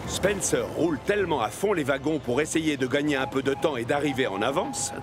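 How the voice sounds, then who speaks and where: A man's voice: fairly high pitch, a little fast, over loud music. A man narrating a children's cartoon story in a scripted storytelling style.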